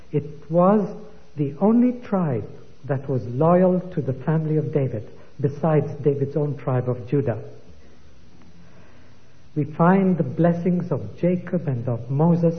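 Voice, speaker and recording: A man preaching a sermon, teaching slowly and emphatically and quoting scripture as he goes. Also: a little high. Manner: slow, emphatic Bible teaching with quoted scripture. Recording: tinny phone-like sound in a long-reverb hall.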